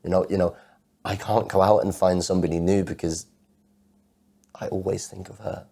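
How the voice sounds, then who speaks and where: Man acting low, gravelly, heartbroken obsessive monologue. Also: steady tone, mellow, full character voice. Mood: anguished, pleading. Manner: halting, stammering repetition of words. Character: heartbroken man with obsessive compulsive disorder, anxious and lovelorn. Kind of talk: dramatic monologue performance of spoken-word poetry.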